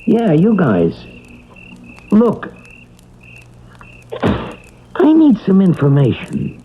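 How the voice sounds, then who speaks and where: A man's voice: sits high, huge pitch swings, drawn-out words, a little hiss or hum, exaggerated voice. An actor playing a cantankerous, sarcastic sick man who refuses to die, in classic radio drama style. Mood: defiant. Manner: sarcastic, scornful, blustery. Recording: dull, lo-fi old radio recording.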